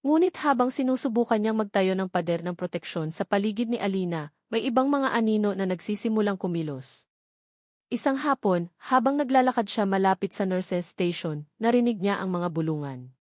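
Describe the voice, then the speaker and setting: Filipina lady narrating a love drama story, medium pitch, dry close sound. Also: lively intonation, moderate pace, darkish tone, dry recording.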